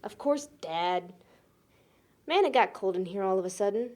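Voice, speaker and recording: A woman's voice: mid-range voice, expressive, normal speed, darkish tone, lightly acted. A woman acting as a friendly, easygoing young party guest, talking casually in film dialogue.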